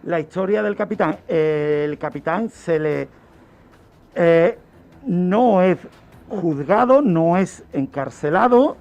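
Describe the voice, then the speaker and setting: High, creaky-voiced man telling stories. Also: expressive, slow, a little mellow. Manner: emphatic, explanatory, asks rhetorical questions. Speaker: criminologist, regular true-crime narrator on a radio show. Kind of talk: storytelling radio commentary. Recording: dull lo-fi radio audio with music.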